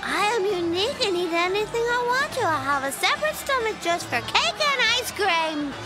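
Self-assured little anime girl, tiny high voice, boastful, music loud behind. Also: sing-song, normal speed. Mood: proud. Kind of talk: English anime dub acting.

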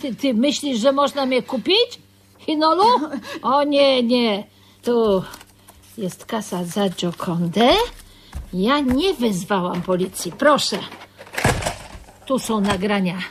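A woman's voice: fairly high pitch, slow, pauses often, husky. An actress playing a brash, earthy working-class woman in a radio drama, blunt and colloquial. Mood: combative. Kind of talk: theatrical radio drama acting.

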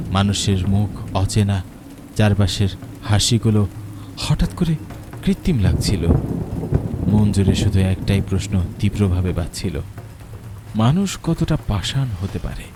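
A man's voice: deep voice, animated, a little slow, long pauses, very noisy. A man narrating a horror story in a dramatic, fearful way.

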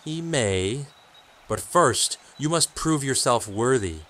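An actor playing a stern, mysterious, darkly amused forest guardian with a portentous delivery in an audio drama. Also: male voice, medium pitch, lively intonation, pushed and bright, slight background noise. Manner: portentous, deliberate.

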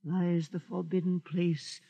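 Croaky, high-voiced old zealot priest. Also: male voice, level tone, slow pace, mellow, heavily acted. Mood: solemn preaching. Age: older. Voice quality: cracked, raspy. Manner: solemn, preachy, indignant. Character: zealous, corrupt old holy man, easily outraged. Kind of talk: 1950s radio drama acting.

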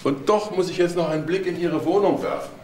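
A man's voice: on the high side, quick pace, a little mellow, natural voice. A man playing a blunt police detective in a crime drama, dry and sardonic. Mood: probing, sardonic. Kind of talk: German TV crime drama dialogue. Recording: roomy sound with faint noise.

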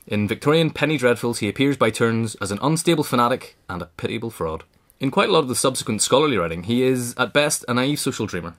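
A man's voice: mid-range voice, a bit bright. A man narrating a scripted political history essay in a formal, articulate read-aloud manner. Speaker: audiobook reader.

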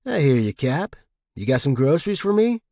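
A man's voice: mid-range voice, big pitch swings, moderate pace, mellow, no room sound, voice pushed up. A man playing a joking, solitary space traffic controller with dry banter in a story. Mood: casual.

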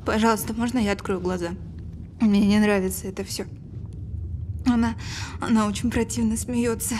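An actress playing a frightened woman reliving a childhood memory under hypnosis, speaking haltingly in a naturalistic audio drama. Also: medium pitch, steady tone, a little mellow, slight background noise, close to an everyday voice. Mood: frightened, pleading.

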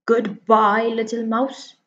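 A woman's voice: fairly high pitch, level tone, slow pace, a little hiss or hum, pitched above the performer's own voice. A woman voicing a sly fox in a storybook reading. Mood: startled. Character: sly fox, eager then startled. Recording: dull, lo-fi recording.

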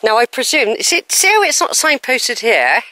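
A woman's voice: a little high, big pitch swings, moderate pace, sharp tone, no room sound. A woman chatting to the camera as she walks a hillside trail, musing aloud and questioning which way to go, with sighs between her remarks. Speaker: hiking vlogger. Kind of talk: chatty talk to camera while walking. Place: outdoors on a hillside countryside trail. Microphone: handheld camera.

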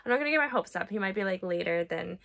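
A woman's voice: mid-range voice, lively intonation, very fast, vocal fry, fairly bright tone, dry recording, clean studio sound. A woman around her thirties chatting casually to a phone held at arm's length, like a family vlogger. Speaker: family vlogger, mother of young children. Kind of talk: casual chat to camera.

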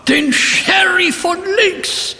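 Schriller, staunender Inspektor. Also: male voice, very high-pitched, very slow, creaky, bright, forceful tone, exaggerated voice. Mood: astonished. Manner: exaggerated, theatrical. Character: astonished, admiring inspector in a stage melodrama. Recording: echoing theatre hall, faint music.

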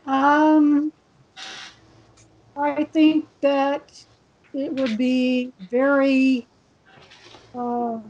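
A woman on an online panel, thinking aloud in slow, drawn-out phrases. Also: high voice, little pitch movement, darkish tone. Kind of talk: casual panel discussion. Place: home room. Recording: muffled video call audio.

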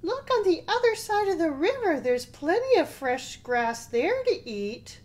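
Woman as a high-pitched little baby billy goat. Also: big pitch swings, a little slow, heavily acted. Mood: excited. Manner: bright pleading, childlike. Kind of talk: children's storybook telling.

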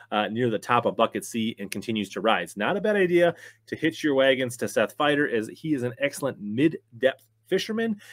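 A man's voice: medium pitch, no echo. A man in his thirties hosting his own fishing channel, giving a casual, upbeat rundown of his picks from a small home studio. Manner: upbeat, conversational, talks with his hands. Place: small home studio with wood-plank wall.

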